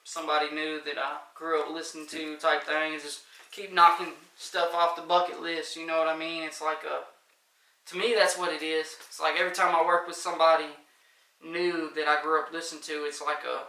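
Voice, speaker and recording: A man's voice: on the high side, level tone, brisk, sharp tone, roomy sound, recorded from a distance, poor audio. A man, a rapper, talking loosely in a casual interview, with a light voice and lots of fillers. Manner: loose, rambling, many fillers.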